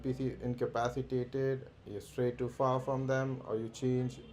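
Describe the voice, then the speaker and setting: A man streaming a game, reading the quest text aloud haltingly and muttering "okay" between lines. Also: medium pitch, level tone, darkish tone. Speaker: livestream gamer. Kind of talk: casual let's-play commentary. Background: faint game music.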